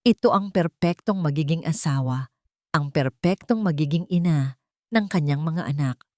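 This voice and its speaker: A woman with a lowish voice narrates a romantic melodrama. Her delivery is scripted, measured and built for suspense. The recording is close and dry, with no room sound. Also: warm tone, no echo. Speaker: story narrator.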